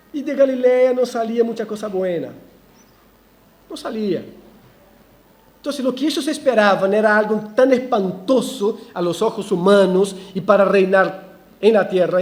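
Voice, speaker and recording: Man, pastor, raised pitch with a soft, mellow timbre; lively church preaching with restarts and irony. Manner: animated preaching with frequent restarts and ironic asides. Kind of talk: expository sermon.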